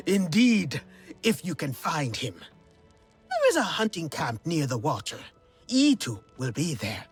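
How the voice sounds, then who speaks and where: A woman playing a stern, challenging alien hunting mentor with a husky, breathy voice and probing manner in a game cutscene with music. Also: low-pitched, huge pitch swings, takes it slowly, pauses often, heavily acted. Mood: wry. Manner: probing, skeptical. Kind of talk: video game cutscene acting. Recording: music behind the voice.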